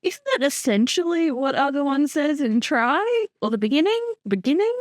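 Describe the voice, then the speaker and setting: A woman's voice: sits high, animated, moderate pace, dry recording. A woman chatting casually and playfully as a co-commentator.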